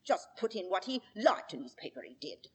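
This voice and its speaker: Working-class witness: stubborn, emphatic, colloquial, husky, lively radio-drama delivery. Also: female voice, fairly low pitch, fairly quick, exaggerated voice. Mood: stubborn, indignant. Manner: emphatic, colloquial, repeats herself. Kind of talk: old-time radio drama acting.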